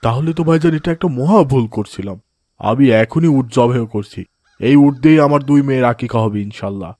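A man's voice: mid-range voice, animated, a little fast, mellow, no room sound, close to an everyday voice. A man voicing an adult father in a cartoon, dejected and easily swayed, with a hesitant, earnest manner. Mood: resolved. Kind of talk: cartoon voice acting in moral story.

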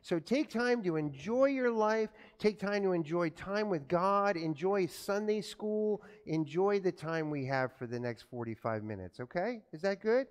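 A pastor giving a children's sermon in a church, telling an animated story and asking the children questions. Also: male voice, sits high, measured pace, few pauses, smooth. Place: church sanctuary. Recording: echoey church from far off.

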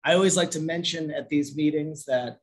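A man gives a brisk, promotional self-introduction at a meeting. His voice rides slightly high for a man, and he trails off quieter toward the end. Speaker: home care representative.

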